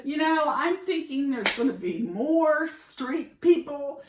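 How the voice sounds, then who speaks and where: A woman's voice: sits high, slow, crowd noise. A middle-aged woman podcast host casually telling travel anecdotes, animatedly acting out the dialogue as she goes. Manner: animated retelling with quoted dialogue. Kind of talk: casual conversational storytelling. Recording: roomy echo with clear background noise.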